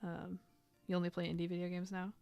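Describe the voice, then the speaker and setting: A woman's voice: lowish voice, monotone, quick pace, gravelly, a little mellow, clean tone, dry recording, soft background music. A woman in her thirties chatting casually while drawing on a live stream, rambling and filling gaps with "like". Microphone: desk microphone.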